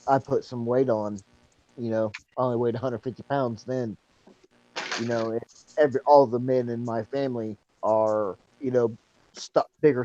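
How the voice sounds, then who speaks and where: A man in his thirties talks in a dark, mellow voice, rambling along with plenty of fillers and restarts. The sound is muffled and lo-fi, with a faint hiss underneath. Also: medium pitch. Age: 30s. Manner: rambling, repeats himself, lots of fillers. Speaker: support group member. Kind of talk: casual chat.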